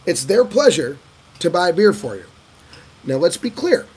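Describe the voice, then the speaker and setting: A man casually answering viewer questions in a vlog, telling stories in an animated way and acting out other people's lines. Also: a little slow, slightly rough. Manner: animated storyteller who acts out locals' lines in a higher voice. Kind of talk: casual talking-head Q&A.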